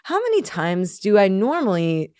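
A woman's voice: medium pitch, huge pitch swings, normal speed, darkish tone, dry recording, clean studio sound. A woman co-hosting a podcast in casual chat, rambling and self-deprecating, laughing often. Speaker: podcast co-host and band member.

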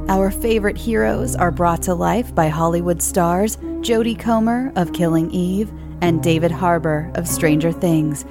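A woman's voice: lowish voice, measured pace, hardly any pauses. A woman reading a scripted video game promo as an advertisement voice-over. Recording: music bed under voice. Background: music.

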